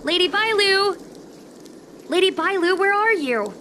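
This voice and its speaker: A woman voicing a brisk, capable young woman adventurer who takes charge in an anime-style game. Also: very high voice, animated, bright, forceful tone, slight background noise, heavily acted. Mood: calling out. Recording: game music behind the voice.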